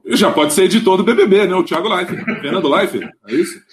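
A man with a Brazilian Portuguese accent hosting a casual football live stream, animated and often repeating words as he restarts. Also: high-pitched, quick pace. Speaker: host.

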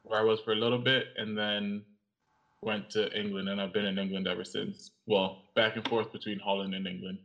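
A young man from Cameroon, a guest in an interview, speaking in a measured, reflective way with some pauses. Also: fairly low pitch, little pitch movement, vocal fry, a bit bright. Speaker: guest, audiovisual artist and writer. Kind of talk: interview conversation. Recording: lo-fi, slightly dull sound.

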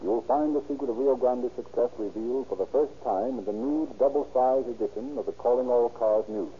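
A man working as a radio narrator and announcer, reading scripted narration and sponsor pitches in a formal, declamatory 1930s style. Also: fairly quick, mellow. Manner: formal, emphatic, declamatory delivery. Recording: noisy, muffled 1930s radio recording.

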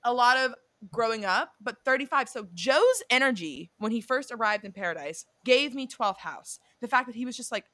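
Chatty young female co-host with a bright tone and a dry close recording; swears and rambles. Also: a little high, expressive, normal speed, no echo. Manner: chatty, swears freely, drifts off and self-corrects. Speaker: podcast co-host. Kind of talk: casual chat about a reality show and astrology.

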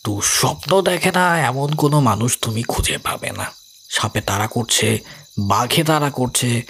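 An actor playing a calm, rational older investigator, narrating an audio story in a measured, explanatory way. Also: male voice, mid-range voice, slight background noise, close to an everyday voice. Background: music.

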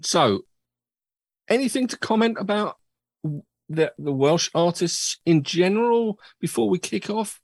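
A man hosting a relaxed chat show, his husky voice bouncing up and down in lively swings. He rambles and pokes fun at himself. The sound is close and dry. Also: high-pitched, unhurried, no room sound. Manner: chatty, rambling, self-mocking. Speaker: podcast host. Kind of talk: casual chat. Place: home studio.

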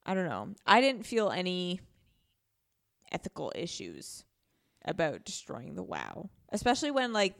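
A woman's voice: fairly low pitch, vocal fry. A woman co-hosting a podcast in casual discussion, often thinking aloud as she goes. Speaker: podcast co-host.

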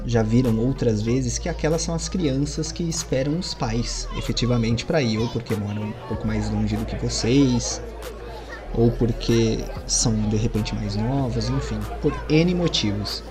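A man in the game master's chair tells the scene in a loose, rambling way, full of little fillers. His voice sits at an ordinary pitch, and soft music plays faintly behind him. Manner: relaxed, rambling narration with fillers. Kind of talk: narrating a tabletop horror game. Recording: music under the voice.

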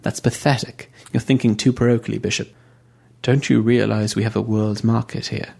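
A man's voice: on the low side, little pitch movement, normal speed, vocal fry, darkish tone. Man playing a pious, scheming woman. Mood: cutting. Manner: pious, cutting. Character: sanctimonious, scheming woman leader.

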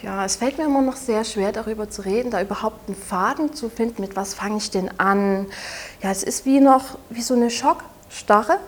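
A woman in her twenties from Saxony with a Saxon German accent, speaking haltingly and softly as an interview guest, often restarting her sentences. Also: a little high. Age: 20s. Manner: halting, soft-spoken, with frequent restarts. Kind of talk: personal testimony in an interview.

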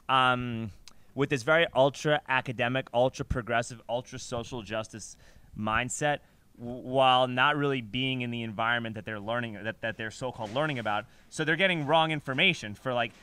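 A man's voice: medium pitch, fairly quick, sharp tone, clear, resonant tone. A man hosting a podcast, chatting casually in a rambling, emphatic, slangy way. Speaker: podcast host. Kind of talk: casual chat, conversational storytelling.

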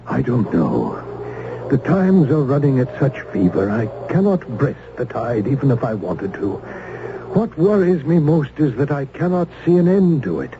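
Noisy old radio play, worried colonial judge, grave and brooding, voice moves around a lot. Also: male voice, mid-range voice, big pitch swings, moderate pace, lo-fi sound. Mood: uneasy, burdened. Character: troubled, conscience-stricken colonial judge, a man. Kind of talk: old-time radio drama acting, archaic diction. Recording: noisy, band-limited old radio recording.

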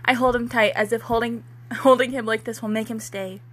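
High, slightly husky young woman reading aloud. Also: fairly even intonation, fairly quick, fairly bright tone. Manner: giggly, self-mocking. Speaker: co-host, author reading own fan fiction. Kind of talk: amateur dramatic reading with casual banter.

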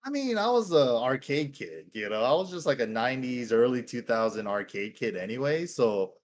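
A relaxed man in his thirties, from Hawaii, talking as a guest on a podcast. His talk is loose and friendly, with "dude" and "man" all through it. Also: mid-range voice, normal speed. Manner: laid-back, keeps calling people "dude". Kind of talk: casual chat.

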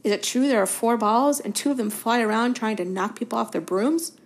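A woman voicing an excitable young schoolboy fan who gushes breathless questions in a piping voice. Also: fairly high pitch, fairly quick, close to an everyday voice. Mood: eager.

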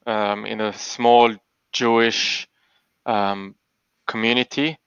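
Man from Belgium, 30s, low and gravelly, thoughtful with many fillers, dull dry recording. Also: steady tone, slow pace, fairly bright tone, dry recording. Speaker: podcast guest, tech investor. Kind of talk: interview answers.

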